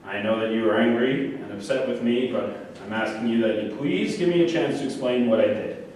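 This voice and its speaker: A man's voice: mid-range voice, brisk, darkish tone, natural voice. A man in a staged play reading, playing a deluded, obsessive murderer who insistently justifies himself. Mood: pleading, earnest. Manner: insistent, self-righteous. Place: echoey room at a staged reading, far microphone. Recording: very echoey room, distant mic, faint noise.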